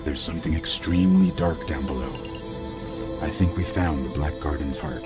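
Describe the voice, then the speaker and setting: A man's voice: a bass voice, normal speed. A man playing a small robot companion in a video game, wry and anxious, with an understated delivery. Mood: uneasy wonder. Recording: music behind the voice.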